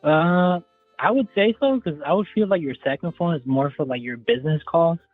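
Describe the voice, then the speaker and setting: A man's voice: a little high, fairly quick, faint music behind. Young man on a tinny phone line. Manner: rambling, hedging with "like". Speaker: caller. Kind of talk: casual phone call.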